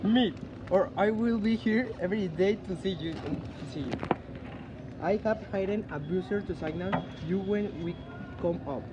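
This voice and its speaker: A watchful, businesslike wartime man acted by a man in a stage reading. His brisk voice is high, in a muffled recording with loud noise. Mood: urgent, businesslike. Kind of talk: amateur stage dramatic reading.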